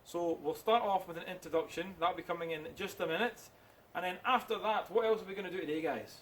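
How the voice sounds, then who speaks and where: A man's voice: high voice, quick pace, a bit bright, slight background noise. A man in his thirties from Glasgow with a Scottish accent, talking casually to camera as a farm vlog host, self-deprecating and full of ums and uhs. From Glasgow, Scotland. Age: 30s.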